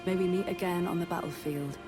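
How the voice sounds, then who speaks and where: Woman playing a low-voiced noble knight. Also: fairly even intonation, music in the background, natural voice. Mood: earnest, courteous. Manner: measured, formal, earnest. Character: noble woman warrior, devoted, composed, courteous. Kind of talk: dramatic fantasy game dialogue.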